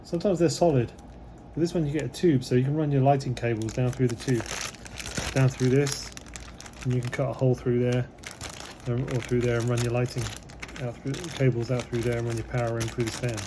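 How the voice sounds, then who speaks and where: A slightly hoarse man, losing his voice, giving relaxed, rambling commentary as he unboxes a model kit, with many fillers. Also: mid-range voice, a little fast, noisy background.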